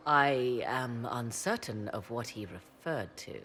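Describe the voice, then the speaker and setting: A woman's voice: rumbling low, lively intonation, takes it slowly, fairly bright tone, exaggerated voice. A woman voicing an aloof female mage who talks in formal, old-fashioned phrasing with a haughty edge. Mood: cool. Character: aloof, sharp-tongued female witch and mage. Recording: game cutscene with faint score.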